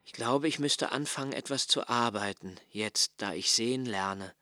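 An actor playing a solitary, brooding young poet of twenty-eight who narrates his own thoughts in a literary radio drama, in a slow, measured, contemplative way. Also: medium pitch, pushed and bright, dry recording, studio quality, close to an everyday voice. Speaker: first-person narrator of a radio play.

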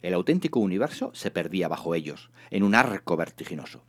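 Expressive male audiobook narrator. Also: low voice, fairly quick. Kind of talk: dramatised comic audiobook narration.

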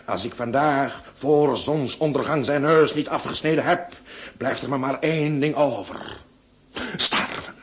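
A man's voice: medium pitch, measured pace, natural voice. An actor playing a proud, hot-headed, indignant Turkish man in a radio drama, theatrical and emphatic. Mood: wounded, indignant.

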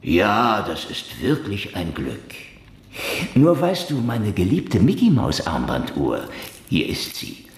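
An actor in a German radio drama playing a sardonic, taunting former Russian security man with a theatrical, mocking manner and teasing pauses. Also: low voice, reverberant, full character voice. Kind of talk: German audio drama acting.

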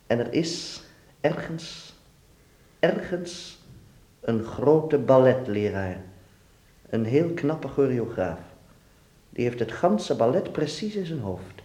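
A man's voice: medium pitch, darkish tone, close to an everyday voice. A man acting a worldly, melancholy dance teacher in a radio play, musing and fatherly with his pupil. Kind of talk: Dutch radio drama acting. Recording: echoey room sound.

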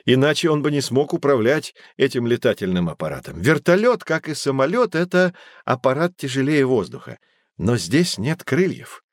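A man's voice: medium pitch, sing-song, moderate pace, no room sound, natural voice. A man playing a learned, patient guide who explains things to children in formal, didactic phrases in an educational audio drama. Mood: explanatory.

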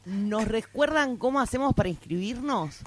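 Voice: mid-range voice, expressive, moderate pace, a little hiss or hum. A radio host with a playful, clowning manner, interviewing guests live on air. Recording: music behind the voice. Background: music.

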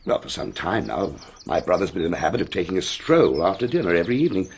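An actor playing an emphatic, excitable English gentleman with an upper-class accent and a husky voice in a radio drama. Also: deep voice, quick pace, full character voice. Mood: sombre.

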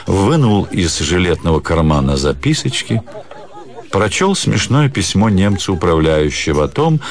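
A man narrating a literary radio play in a measured, expressive, dramatic storytelling manner. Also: low voice.

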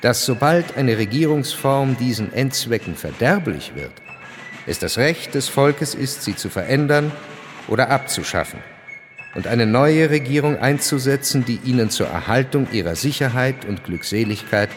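A man narrating a scripted radio drama in a measured, formal manner. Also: medium pitch, animated, a little hiss or hum.

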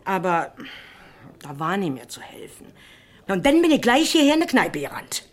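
An actress playing a gossipy, excitable working-class woman in a radio play, chatty and self-important. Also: medium pitch, normal speed. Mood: excited gossip. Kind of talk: German radio play dialogue.

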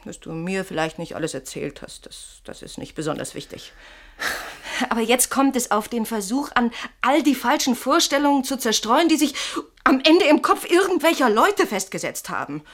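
An actress playing a needling, insinuating wife in a German radio drama, theatrical and probing, moving between soothing and sharp. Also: female voice, on the high side, sing-song, moderate pace.

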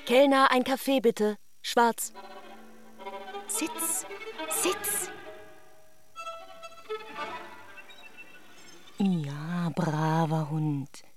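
A woman's voice: medium pitch, very slow, over loud music. An actress playing a reserved young married woman who gives terse replies in a radio drama. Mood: clipped. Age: young adult.

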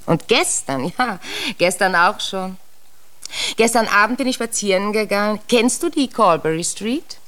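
A woman acting an anxious, shaken widow in a German radio drama, speaking in a hesitant, halting, brooding manner. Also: medium pitch, lively intonation, fairly bright tone. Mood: uneasy, brooding. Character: anxious, shaken recent widow recounting narrow escapes.

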